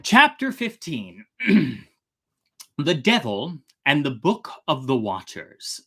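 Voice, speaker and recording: A storytelling host chatting casually on a livestream in an expressive, slightly theatrical manner. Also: medium pitch, takes it slowly.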